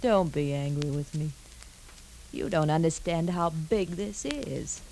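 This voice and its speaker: Low, scheming radio femme fatale. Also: female voice, measured pace, gravelly, dark tone. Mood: pleading, scheming. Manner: evasive, pleading. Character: scheming, cornered femme fatale, evasive and defiant. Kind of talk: 1950s radio drama acting. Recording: noisy 1950s radio drama recording.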